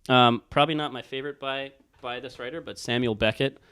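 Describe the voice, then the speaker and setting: A man's voice: medium pitch, fairly even intonation, brisk, pushed and bright, smooth, no room sound, studio quality. A man co-hosting a casual, unscripted book chat, rambling as he thinks aloud. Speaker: book talk co-host.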